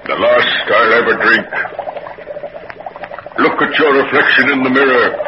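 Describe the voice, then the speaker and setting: An actor playing a gleeful, deranged murderer in 1940s radio melodrama, ranting theatrically and cackling on an old recording. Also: male voice, mid-range voice, dull audio, very noisy. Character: gleeful, deranged male murderer exulting in his own evil.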